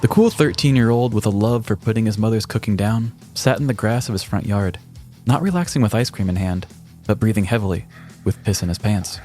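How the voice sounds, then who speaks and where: A man's voice: on the low side, a little fast, over music. A man podcast host reading a scripted comedic story in a mock-dramatic way.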